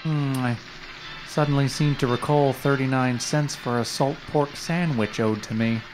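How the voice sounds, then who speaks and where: A man plays a put-upon hotel worker in an old-time radio drama, in a medium-pitched voice. He is doubtful and dryly needling, with a timid streak. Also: steady tone, measured pace, music in the background, close to an everyday voice, rough recording. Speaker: actor in an audio drama. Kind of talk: period radio drama acting.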